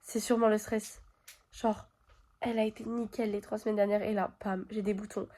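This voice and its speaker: A woman with a cold talks to her viewers from her bedroom, her nose stuffed up. Her voice is mellow and soft-edged, and she chats loosely, full of fillers and asides to the camera. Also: medium pitch, little pitch movement, moderate pace. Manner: chatty, talks straight to viewers with many fillers. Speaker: vlogger. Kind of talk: casual chat to camera. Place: bedroom at home.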